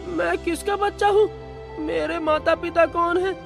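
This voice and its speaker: Someone voicing a pitiful, high-pitched little beggar boy. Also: fairly even intonation, measured pace, gravelly, over music, exaggerated voice. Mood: forlorn. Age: child. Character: hungry orphan beggar boy, pleading and pitiful. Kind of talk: cartoon story voice acting.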